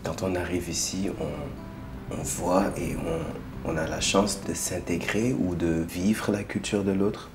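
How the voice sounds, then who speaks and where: Slow, hesitant man with a very deep voice and clear music behind. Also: steady tone. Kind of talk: documentary interview.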